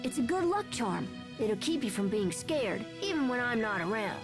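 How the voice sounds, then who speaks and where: Brash, animated young boy, music behind. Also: on the high side, normal speed. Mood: reassuring. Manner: casual, lively, impulsive. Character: brash, headstrong young boy, caring big brother. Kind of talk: animated video game dub acting. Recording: music under the voice.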